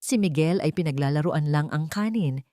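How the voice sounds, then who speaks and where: A woman's voice: low-pitched, normal speed, warm tone, no echo, clean studio sound. A woman with a Filipino accent narrating a sentimental family story in a dramatic, scripted storytelling style.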